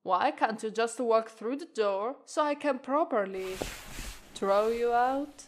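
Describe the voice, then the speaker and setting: An alluring witch drawls out her flirtatious teasing in a slightly high voice, played by a woman. She takes her time over every word, unhurried and playful. It is an ASMR roleplay, voiced by an Italian native speaker. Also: slight background noise.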